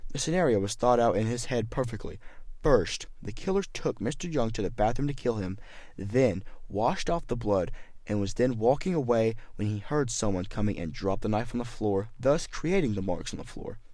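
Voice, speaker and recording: A man's voice: fairly low pitch, lively intonation, normal speed, a little mellow, no echo. A man narrating a scripted mystery story as its reader.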